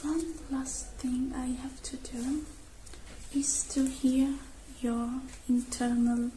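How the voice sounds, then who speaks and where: A woman speaking softly and whispering in an ASMR roleplay, gentle and hushed, drawing out her phrases with pauses. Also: sits high, fairly even intonation, a little hiss or hum, low-quality recording.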